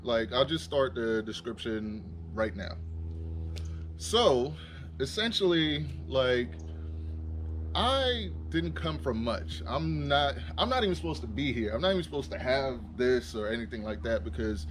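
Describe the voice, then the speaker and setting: A man's voice: medium pitch, animated, a little slow, a bit bright, slight background noise. A young adult man from Trinidad and Tobago, telling a rambling personal story as a vlogger, full of fillers and restarts. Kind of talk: casual storytelling. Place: in a vehicle.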